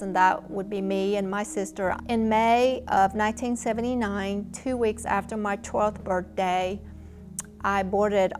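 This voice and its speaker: A woman in her fifties with a Vietnamese accent, telling her own story in a measured, reflective way for a documentary interview. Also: mid-range voice, a little mellow. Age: 50s. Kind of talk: personal testimony in a documentary interview.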